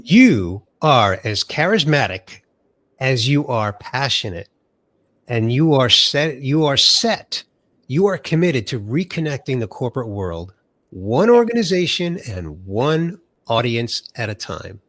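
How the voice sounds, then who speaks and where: A man's voice: medium pitch, sing-song, unhurried, a bit bright, no echo. A middle-aged man from the USA hosting an interview podcast, sounding nasal from a cold and introducing his guest with enthusiasm. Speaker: podcast host.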